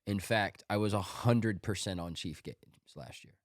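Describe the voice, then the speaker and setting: A man's voice: lowish voice, unhurried, no room sound, studio quality. A man hosting a sports podcast, a little congested from a cold, running through his picks in an offhand, joking way. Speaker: podcast host. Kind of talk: casual sports podcast monologue.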